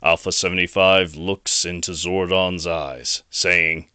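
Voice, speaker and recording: A man's voice: low voice, steady tone, takes it slowly, pushed and bright, clean tone, no room sound. A man narrating a scripted action audio drama as a storyteller. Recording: noisy home recording.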